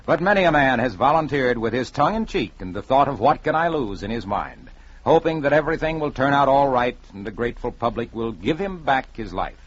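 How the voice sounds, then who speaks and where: Male storyteller for vintage radio: expressive, slightly rough voice, measured drama, muffled old recording. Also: mid-range voice. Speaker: narrator.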